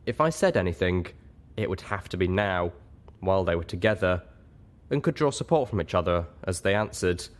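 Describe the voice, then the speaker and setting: A man's voice: deep voice, animated, moderate pace. A man narrating a first-person story expressively, as an audiobook reader.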